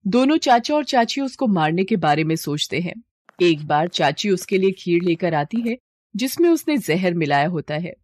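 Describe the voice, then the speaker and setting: A woman's voice: lowish voice, sing-song, normal speed, a little mellow. A woman narrating a scripted moral story as a storyteller.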